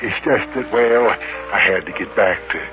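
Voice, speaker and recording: A man's voice: on the low side, normal speed, lightly acted. A man playing a pompous, sweet-talking middle-aged mayor who gushes and hesitates in 1950s children's radio style. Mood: apologetic, cooing. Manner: hesitant, gushing, chuckling. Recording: muffled old radio recording with music.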